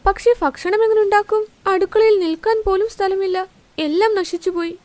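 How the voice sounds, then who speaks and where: Lady doing a spoiled young daughter-in-law for a cartoon, whiny n showy, really high voice, dry sound. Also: fairly even intonation, normal speed, a little mellow, no room sound. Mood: worried complaining. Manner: complaining, showy. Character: haughty, boastful young daughter-in-law, whiny and self-centred. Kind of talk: cartoon moral story dialogue.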